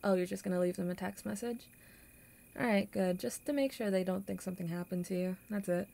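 A young woman playing a kind, awkward popular girl in an audio roleplay, with halting, stammering, flustered delivery. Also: mid-range voice, vocal fry, dark tone, close to an everyday voice. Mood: shy, caring. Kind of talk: intimate audio roleplay acting.

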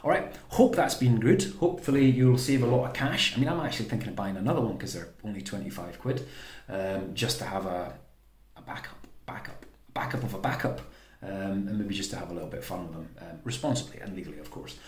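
A man's voice: fairly low pitch, fast, a little hiss or hum. A British man giving a casual, unscripted tutorial indoors, informal and often correcting himself as he explains. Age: 30s to 40s. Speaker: host of a how-to sailing channel. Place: small home kitchen or room, indoors. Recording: roomy, echoey indoor sound.

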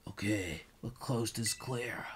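Excitable, swearing let's play host. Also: male voice, fairly low pitch, slow pace, a little hiss or hum. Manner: excitable, repeats catchphrases, swears a lot. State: voice getting hoarse. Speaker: gaming YouTuber.

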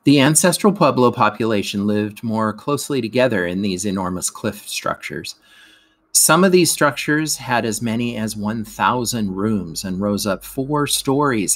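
A man reads educational narration aloud like a teacher, in measured, careful phrases with emphasis at sentence openings. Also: medium pitch, a bit bright. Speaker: teacher reading a lesson aloud.

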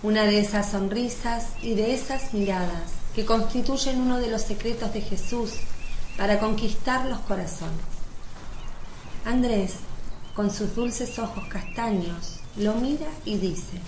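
A woman's voice: medium pitch, a little slow, darkish tone, reverberant, a little hiss or hum, poor audio. A woman narrating a scripted religious story as the narrator of a radio drama.

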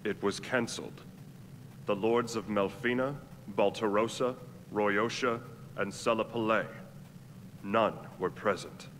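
An actor playing a grave, formal retainer in a fantasy game, speaking in a solemn, measured way. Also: male voice, on the low side, fairly even intonation, close to an everyday voice. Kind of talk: video game cutscene acting. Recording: reverberant, noisy game audio.

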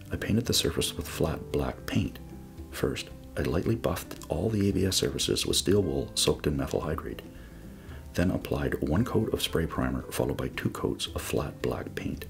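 Very deep, gravelly male narrator. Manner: measured, step-by-step explanatory delivery. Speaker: DIY builder narrating a project. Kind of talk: scripted voice-over narration.

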